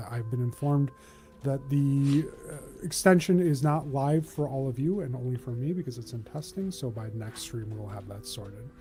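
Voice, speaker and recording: A man's voice: mid-range voice, warm tone. A man hosting and narrating a live tabletop game as game master in a casual way. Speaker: game master and stream host.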